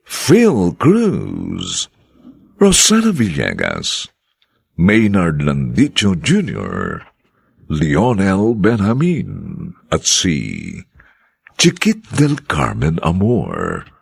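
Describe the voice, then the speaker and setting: A man with a very low, gravelly and raspy voice announcing a radio drama. He speaks slowly, and his pitch swoops widely as he stretches the words out formally. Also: wildly swooping pitch.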